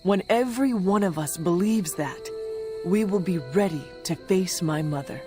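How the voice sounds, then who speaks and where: A woman acting a resolute young hero in a video game cutscene, speaking in a solemn, measured, formal way. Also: on the low side, music in the background, natural voice. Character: resolute young hero leading a supernatural team.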